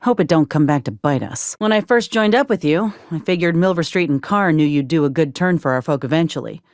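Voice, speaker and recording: An actress playing a gruff, loyal sci-fi soldier and medic in a video game, speaking in a folksy, plainspoken, measured way. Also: female voice, deep voice, animated, darkish tone, no room sound, clean studio sound. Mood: earnest, measured. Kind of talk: video game companion dialogue.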